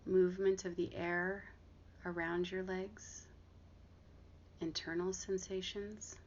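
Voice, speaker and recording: A woman guiding a body scan meditation, giving gentle, unhurried instructions with long pauses between phrases. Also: fairly low pitch, little pitch movement. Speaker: meditation guide and therapist. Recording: dull lo-fi audio with clear background noise.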